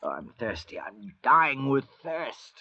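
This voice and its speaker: An actor playing a nervous, distrustful younger convict with a fretful, complaining way of talking in an old-time radio drama. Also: male voice, mid-range voice, big pitch swings, slow pace, creaky, sharp tone, full character voice. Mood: parched, fretful. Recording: dull vintage radio recording.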